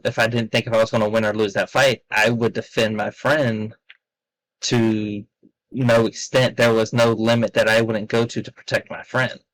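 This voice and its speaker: A man's voice: fairly low pitch, a bit bright, dry recording, poor audio. A man chatting in a laid-back, rambling way on a podcast, with many fillers. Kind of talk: casual podcast chat.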